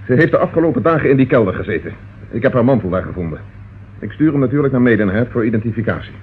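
An actor playing a shrewd, persistent police inspector in a radio drama, speaking with dry, controlled irony. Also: male voice, medium pitch, very animated, very fast, phone-quality sound, close to an everyday voice. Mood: firm. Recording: thin, noisy old radio recording.

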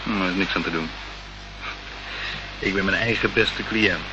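A man's voice: sits low, normal speed, close to an everyday voice. An actor playing a resigned, friendly man in a radio play, talking informally as to an old friend. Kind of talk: Dutch radio play acting. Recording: dull, noisy old radio play recording.

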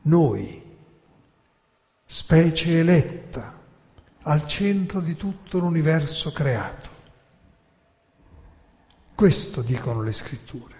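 A man giving a theatrical lecture, narrating deliberately and emphatically with dramatic pauses. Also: fairly high pitch, expressive, darkish tone. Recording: long hall reverb.

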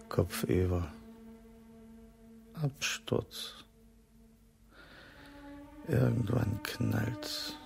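Casting: bitter radio drama man near breaking, very creaky, dragging delivery. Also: lowish voice, heavily acted. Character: bitter, brooding man close to snapping. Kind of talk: radio play dialogue and brooding monologue.

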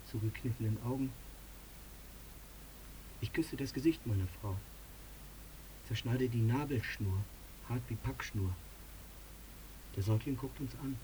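An actor playing a tormented, guilt-ridden air traffic controller in a radio drama, speaking in a halting, pleading way. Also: male voice, medium pitch, mellow, natural voice, low-quality recording.

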